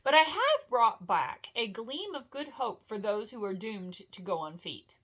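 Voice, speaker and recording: Woman as a bright-voiced, cheerful male elf. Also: fairly high pitch, big pitch swings, unhurried, full character voice. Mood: cheerful, teasing. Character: light-footed, airy, cheerful male elf scout.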